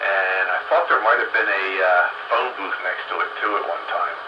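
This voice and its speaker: An older man chatting casually on the radio, recalling things in a rambling, halting way. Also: low-pitched, fairly even intonation. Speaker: radio show participant. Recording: noisy, muffled, roomy radio transmission.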